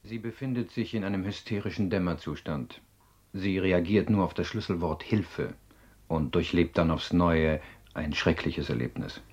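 A man playing a self-assured psychiatrist in a radio drama, explaining in a measured, courteous, husky lecture. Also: low-pitched, darkish tone, lo-fi sound. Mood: assured, explanatory. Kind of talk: German radio drama acting.

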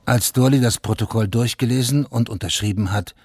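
A man's voice: mid-range voice, brisk, darkish tone, breathy edge, no room sound. A man narrating a scripted radio drama in a sober, factual manner, in short plain statements.

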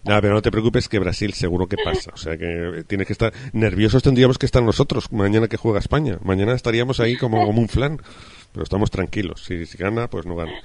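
A man's voice: fairly low pitch, fast talker, darkish tone, dry recording. A man with an accent from Spain, hosting a casual radio football discussion in an effusive, colloquial way.

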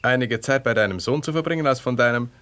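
A man's voice: medium pitch, fast talker, fairly bright tone, natural voice. Jealous husband, played by a man, Austrian, ironic and probing, 1970s radio drama. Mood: casual. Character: jealous husband, sardonic, smooth-talking, needling his wife.

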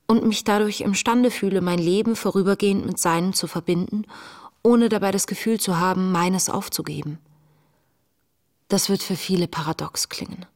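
An actress playing an earnest, searching woman in her late twenties, reading her wartime diary in a breathy, introspective, measured way for a radio play. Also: lowish voice, lightly acted. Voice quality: breathy, often dropping toward a whisper. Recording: music under the voice.

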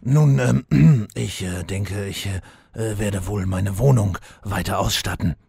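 A demon night elf warlord, acted by a man for a parody audio play. The voice is low and extremely hoarse-edged, close and dry. He hems and stammers awkwardly, yet carries himself with pompous importance. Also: takes it slowly, dark tone, dry recording, heavily acted. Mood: awkward, hesitant. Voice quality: very raspy, gravelly, throat-clearing. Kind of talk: audio comedy parody acting.

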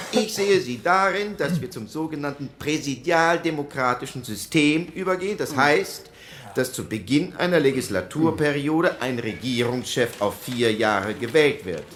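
A man calmly laying out a proposal in an archival committee speech. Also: a little high. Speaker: politician in debate.

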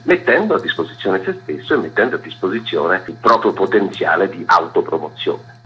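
A man's voice: low voice, husky. A man being interviewed as an artistic director, giving wordy, formal explanations. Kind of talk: radio interview, explanatory talk. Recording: dull lo-fi sound with faint music.

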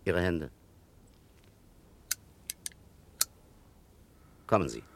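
An actor playing a stern, methodical police captain in a 1960s German radio crime drama, speaking with formal, probing authority. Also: male voice, deep voice, expressive, a little fast, gravelly. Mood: stern, accusing. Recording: noisy 1960s radio recording.

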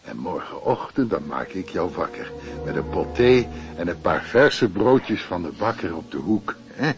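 A man's voice: sits low, sing-song, measured pace, muffled recording, over music, heavily acted. An actor playing a fatherly, caring night porter in a radio play, coaxing and solicitous. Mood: coaxing, reassuring. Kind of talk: radio drama acting.

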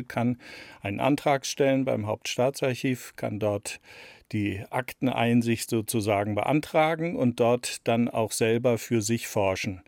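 A man's voice: mid-range voice, vocal fry, darkish tone, no echo, studio quality. A man in his sixties giving reflective, explanatory interview answers, repeating words for emphasis. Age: 60s. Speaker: radio play author being interviewed.

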